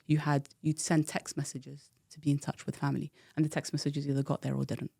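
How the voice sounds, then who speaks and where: A woman in her thirties, originally from the UK, talks through her past in a frank, reflective way. Her voice has a gravelly, creaky texture. The recording is dry and close, with no room sound. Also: low-pitched, level tone, fairly quick, mellow, dry recording. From United Kingdom. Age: 30s. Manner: reflective, frank storytelling with frequent fillers. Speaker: guest. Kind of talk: candid personal interview.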